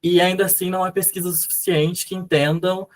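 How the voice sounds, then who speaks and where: A man's voice: high voice, flat delivery, fairly quick. A young man from Brazil, a university student, presenting research over a video call in an explanatory way with some fillers. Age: young adult. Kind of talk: conference presentation.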